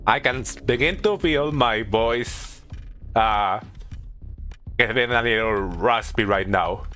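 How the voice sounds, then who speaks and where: A male streamer talks casually through a video game with a bright, forward voice at an average pitch. He thinks aloud in a halting way, often doubling back on his phrases, with faint music underneath. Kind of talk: casual gameplay commentary.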